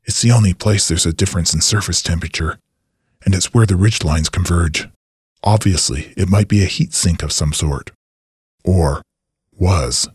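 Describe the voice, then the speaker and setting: A very husky, low-voiced old male scientist, performed by a male narrator in a sci-fi audiobook. He is impatient and condescending, giving curt orders as the one in command. The sound is close and dry. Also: moderate pace, darkish tone, dry recording. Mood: irritated, condescending.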